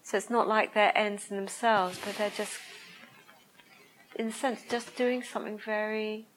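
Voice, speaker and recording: A woman with a quiet, soft voice leads a group through a reflective meditation talk. She speaks slowly and tentatively, often pausing to find her words before guiding the listeners inward. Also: medium pitch, little pitch movement, poor audio. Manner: slow, hesitant, searching for words. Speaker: meditation teacher.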